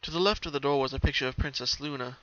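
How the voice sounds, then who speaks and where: A man's voice: mid-range voice, racing, bright, forceful tone, dry recording, dull audio. A man narrating a story as a dramatic reading. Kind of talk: dramatic reading of fan fiction.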